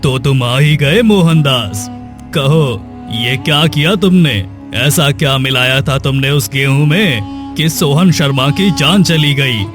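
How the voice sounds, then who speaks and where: A man acts a stern king on his throne in a cartoon moral tale. He speaks slowly and imperiously, with music clearly playing behind him. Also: mid-range voice, natural voice. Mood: accusing, grave. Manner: imperious, accusing. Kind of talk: dramatised moral story for cartoon.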